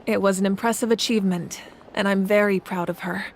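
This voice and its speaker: A woman's voice: medium pitch, steady tone, brisk, slight background noise, close to an everyday voice. A woman playing a composed, resolute warrior goddess who rules a nation, speaking formally and solemnly in a video game.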